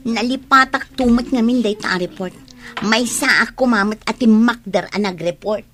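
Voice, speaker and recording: High-voiced, cheeky Filipino student played by an actress. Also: female voice, expressive, a little slow, fairly bright tone, a little hiss or hum. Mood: sheepish. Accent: Ilocano Filipino. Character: forgetful, cheeky young student. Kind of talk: Filipino radio comedy-drama acting.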